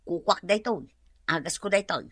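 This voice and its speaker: An actress playing a cheeky, defensive gossip with an Ilocano accent, bantering sassily in a radio comedy drama. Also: female voice, on the low side, a little fast, fairly bright tone, dry recording, studio quality. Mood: defensive, sassy. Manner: sassy, bantering. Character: cheeky, defensive debt-dodging gossip named Marites.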